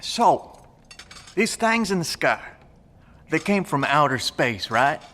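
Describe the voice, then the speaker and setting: A man's voice: on the high side, sing-song, takes it slowly, fairly bright tone, in a large hall, exaggerated voice. A man playing a plainspoken, practical, good-natured country fellow in an audio drama, with a folksy, informal manner. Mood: curious.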